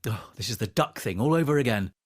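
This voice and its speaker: An actor playing a quirky, gently scolding adventurer for small children, with a fussy, animated manner. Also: male voice, medium pitch, fast talker, natural voice. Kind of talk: children's audio drama performance.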